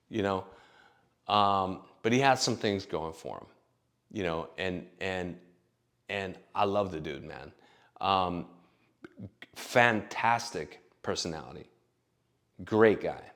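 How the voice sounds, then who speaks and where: A man's voice: low-pitched, fairly bright tone. A man in his late thirties telling stories in a rambling, profane, casual monologue full of fillers. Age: late 30s. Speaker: vlogger.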